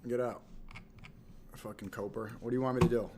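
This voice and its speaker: A man's voice: medium pitch, fairly even intonation, a little fast, heavy background noise. A man livestreaming casual reactions and reading chat aloud, blunt and profane in his slang. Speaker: live streamer.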